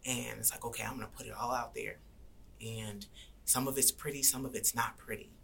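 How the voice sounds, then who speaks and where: A woman speaks earnestly and reflectively in an interview, her voice gravelly and low yet bright and forceful. She sometimes drops to soft, near-whispered asides. A faint hiss of background noise sits under her voice. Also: steady tone, fairly quick. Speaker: guest rapper and activist. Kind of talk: conversational interview.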